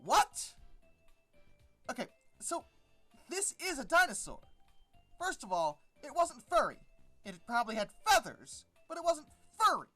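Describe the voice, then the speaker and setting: Animated, exasperated woman commentator. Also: sits high, unhurried, long pauses, soft background music. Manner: exasperated, emphatic, sarcastic; rambling, repeats words for emphasis. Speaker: video host and commentator. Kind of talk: casual unscripted commentary on an article.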